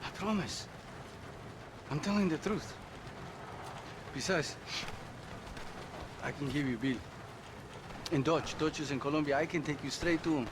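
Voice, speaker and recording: An actor playing a cornered, slippery outlaw, ingratiating and hesitant, in a game cutscene. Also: male voice, on the high side, a little slow, long pauses, noisy background. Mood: pleading. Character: slippery, pleading Mexican outlaw, cornered former gang brother. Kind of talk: video game cutscene acting.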